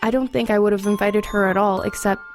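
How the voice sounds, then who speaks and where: A woman's voice: mid-range voice, fairly even intonation, fast, natural voice. A woman playing a reflective teenage girl who narrates her own ghost story in a confiding way. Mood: confiding, rueful. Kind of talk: first-person dramatic narration. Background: music under the voice.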